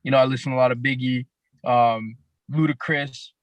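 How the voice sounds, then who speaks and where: Raspy young man with a Toronto accent. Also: medium pitch, fairly even intonation, no room sound. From Canada, grew up in Toronto. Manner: laid-back, halting, many fillers. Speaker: rapper guest. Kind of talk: casual podcast interview.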